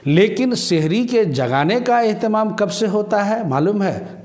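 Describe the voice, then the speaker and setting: A man in his thirties with a slightly high voice gives religious guidance. He speaks formally and explains each point in a measured way, like a cleric addressing his viewers. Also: a little mellow. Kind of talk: religious advice talk to camera.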